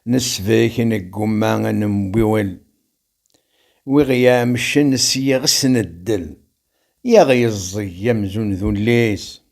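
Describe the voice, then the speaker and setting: A man with a Kabyle accent reciting rhymed verse as a poet, in a measured, declamatory delivery. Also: lowish voice, vocal fry, darkish tone.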